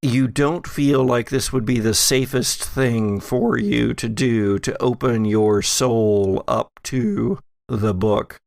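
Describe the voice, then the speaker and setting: A male game master narrates a tabletop horror game. He speaks slowly and deliberately, with pauses and a gravelly edge, in a dry recording. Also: medium pitch, a little mellow, dry recording. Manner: deliberate, pausing. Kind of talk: narrating a tabletop audio drama.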